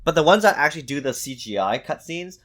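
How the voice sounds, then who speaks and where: A man with a lively, swinging pitch argues his case in a casual podcast chat. He is emphatic and insistent, restarting and repeating phrases as he pushes his point. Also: quick pace. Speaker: podcast co-host.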